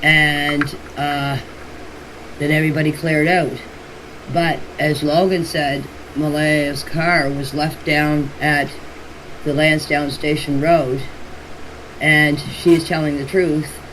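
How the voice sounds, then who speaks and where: A plain-spoken woman recounting events in a casual voice message, in halting and repetitive phrasing. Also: deep voice. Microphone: phone voice message. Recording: noisy voice clip, steady background hiss.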